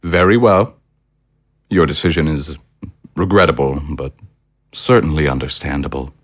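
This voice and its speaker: An actor playing a coldly polite, powerful villain in an audio drama, speaking in a formal, measured, condescending way. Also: male voice, a bass voice, no echo, clean studio sound. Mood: cold politeness.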